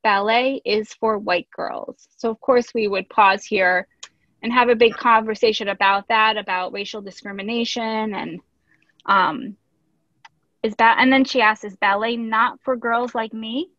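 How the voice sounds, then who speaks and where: A woman's voice: on the high side, fairly even intonation, measured pace, fairly bright tone. A woman reading a picture book aloud as a teacher, expressively, with instructive asides. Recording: echoey room, dull lo-fi audio.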